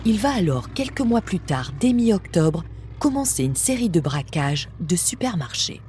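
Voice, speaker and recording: A woman's voice: on the low side, big pitch swings, normal speed, warm tone, a little hiss or hum. A woman reading a scripted true-crime story as a podcast narrator. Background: music.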